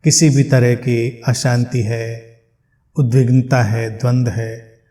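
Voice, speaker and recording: A man leading a guided meditation, speaking gently in short phrases with long pauses between them. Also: medium pitch, little pitch movement.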